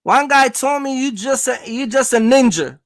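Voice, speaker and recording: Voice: high voice, lively intonation, normal speed, no echo, clean studio sound. A speaker gives casual motivational advice as a host, talking emphatically, repeating points and checking in with listeners. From Jamaica. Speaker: host, trucking business coach.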